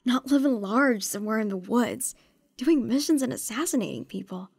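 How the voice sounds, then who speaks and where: Casting: actress for stubborn young woman time traveler, weary and earnest, higher husky voice, dry close sound, fan drama. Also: big pitch swings, moderate pace, a little mellow, no room sound, exaggerated voice. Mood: uneasy, questioning. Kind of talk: fan audio drama acting.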